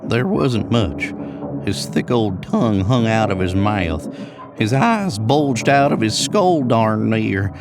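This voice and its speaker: A man's voice: fairly low pitch, lively intonation, slow pace, over loud music, pitched above the performer's own voice. A man voicing a craggy, sly cowboy outlaw who tells campfire tales in a folksy frontier drawl for a Western audio story. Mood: grim relish.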